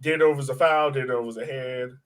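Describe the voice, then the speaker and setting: A man's voice: medium pitch, steady tone, fast talker. A man co-hosting a soccer fan podcast in casual, conversational analysis, often putting questions to his co-host. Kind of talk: casual sports analysis chat.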